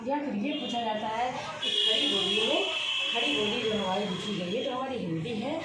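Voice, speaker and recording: A woman teaching a grammar lesson, asking questions and answering them herself, repeating the key points. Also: fairly high pitch. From India. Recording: echoey room, far from the mic, steady noise.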